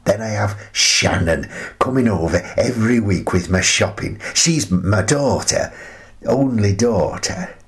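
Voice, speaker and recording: An actor playing a wry, contented older recluse who muses in a chatty, gently amused way in a radio play monologue. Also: male voice, fairly low pitch, animated, measured pace. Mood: fond.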